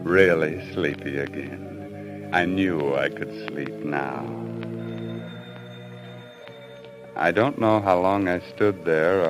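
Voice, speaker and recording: An actor playing a deranged, murderous husband in an old-time radio thriller, theatrical, swinging between hushed coaxing and frenzy. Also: male voice, deep voice, low-quality recording. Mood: eerily calm. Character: deranged, murderous husband confessing his crime. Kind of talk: old-time radio thriller acting, first-person narration. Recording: old radio recording with loud music.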